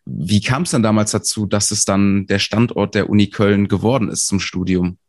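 Lower-voiced male interviewer, encouraging. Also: fairly even intonation, moderate pace, darkish tone. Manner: affirming, encouraging questions. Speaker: interviewer, host. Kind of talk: conversational interview.

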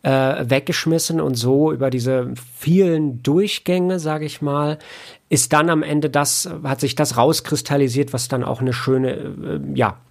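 A man's voice: on the high side, steady tone, measured pace, creaky, a little mellow. A man speaking as a guest in a casual interview, explaining things in a rambling, conversational way with many filler phrases. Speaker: interview guest, audio drama producer and author.

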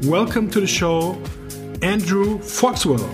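Male podcast host, German accent, fairly high voice, earnest and halting. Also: expressive, music in the background. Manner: earnest, halting, many fillers. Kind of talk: podcast interview.

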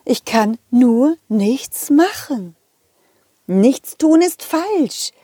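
A woman's voice: sits high, animated, extremely slow, mellow, slightly rough, dry recording, studio quality, exaggerated voice. A woman voicing a grumpy, sleepy female lemon teacher who is dismissive and whiny and wants to be left alone. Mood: grumpy, weary.

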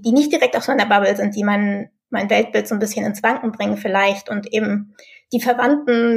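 A woman's voice: fairly high pitch, vocal fry. A woman from eastern Germany, a podcast guest, reflecting casually and thoughtfully as she searches for words. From Thuringia, eastern Germany.

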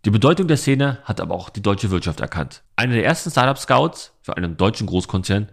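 A man's voice: fairly low pitch, very animated, brisk, vocal fry. Man hosting a podcast interview. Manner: friendly, informal questioning. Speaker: podcast host.

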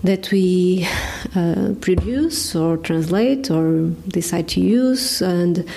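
A woman's voice: fairly low pitch, takes it slowly, gravelly, warm tone. A Portuguese woman in her forties, speaking English as a second language with a Portuguese accent, answering interview questions hesitantly, with many fillers and restarts. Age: 40s. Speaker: ministry director, guest. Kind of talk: casual interview chat.

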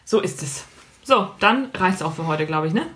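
A woman's voice: lowish voice, animated, moderate pace, fairly bright tone. A woman co-hosting a podcast in casual, joking, mock-exasperated chat. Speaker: podcast co-host.

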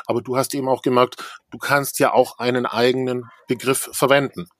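A man hosting a casual conversation, speaking in a measured way and searching for his words. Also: mid-range voice, flat delivery, fairly bright tone, dry recording, clean studio sound.

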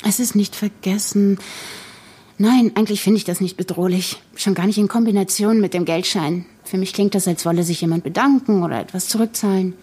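A woman's voice: mid-range voice, fairly even intonation, fairly quick, dark tone, slightly rough, lightly acted. An actress playing a pragmatic, tired young mother in a naturalistic audio drama, matter-of-fact and questioning with her friends. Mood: sceptical, puzzled.

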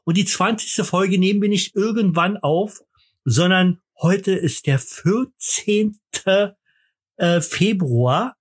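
A man's voice: high voice, takes it slowly, slightly rough. A man co-hosting a podcast in casual banter, rambling and joking while drinking, a bit tipsy. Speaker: podcast co-host.

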